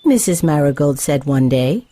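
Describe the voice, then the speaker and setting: A woman storyteller reading a scripted children's tale with measured, careful phrasing for young listeners. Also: sits low, lively intonation, warm tone.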